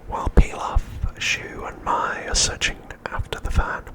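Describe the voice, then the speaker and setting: A middle-aged man whispers his way through an ASMR narration. His voice is all breath, with no voiced tone. He reads gently and takes his time, leaving deliberate pauses between phrases. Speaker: ASMR host.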